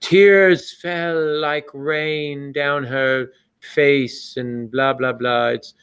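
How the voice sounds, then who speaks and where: A man's voice: on the high side, steady tone, very slow, a bit bright, clean tone. A man hosting a podcast with casual, joking chat, enthusiastic and somewhat rambling. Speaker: podcast host.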